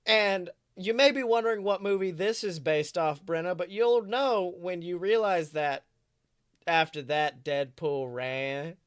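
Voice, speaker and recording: Male podcast co-host, high voice, dry recording, rambling playful improvised storytelling. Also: measured pace, clean tone, no room sound. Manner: rambling, self-correcting, playfully dramatic. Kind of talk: improvised comic storytelling, casual chat.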